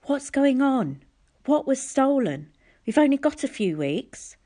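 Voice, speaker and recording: Casting: a woman reading as a secretive young girl, raised pitch, urgent questions, storybook character. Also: sing-song, a little slow, warm tone, heavily acted. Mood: urgent, anxious.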